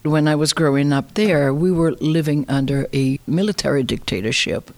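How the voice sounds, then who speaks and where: A woman's voice: sits low, normal speed, darkish tone, dry recording. A woman in her sixties from Brazil, with a Brazilian accent, telling personal stories in a reflective, conversational way as an interviewee. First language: Portuguese. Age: 60s. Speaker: interviewee, immigrant.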